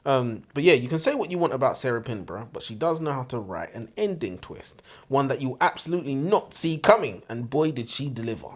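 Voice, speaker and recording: Male book podcaster, very animated intonation, a touch husky, blunt casual opinions. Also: medium pitch. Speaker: book review podcast co-host.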